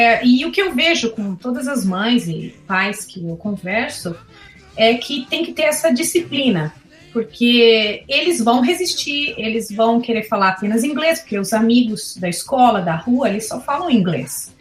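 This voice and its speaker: A Brazilian woman with a somewhat high voice speaks earnestly as a guest educator. She hesitates and repeats words now and then. Her voice sounds roomy and echoey, with faint music behind. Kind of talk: casual podcast chat.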